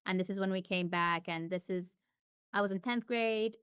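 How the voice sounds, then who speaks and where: A woman's voice: medium pitch, steady tone, moderate pace, fairly bright tone, smooth, no room sound, studio quality. A woman as an interview guest, talking casually and reflectively with frequent restarts and fillers. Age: adult.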